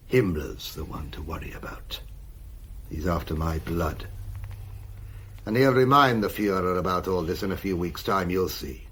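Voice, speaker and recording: A worldly German admiral of wartime intelligence for a radio play. He is weary and sardonic, confiding in a low, husky voice. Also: fairly bright tone, exaggerated voice. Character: weary, cynical German admiral, wry senior spymaster. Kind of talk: radio drama acting.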